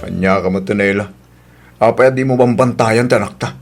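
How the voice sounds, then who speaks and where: A man's voice: fairly low pitch, normal speed, soft background music, natural voice. A man acting a guilty, unfaithful husband in an Ilocano radio drama, hesitant and stammering, by turns pleading and exasperated.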